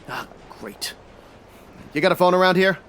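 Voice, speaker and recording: A man's voice: sits high, normal speed. A man playing a terse, frustrated private detective in a 1940s-style noir radio drama. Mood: exasperated. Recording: very loud background noise.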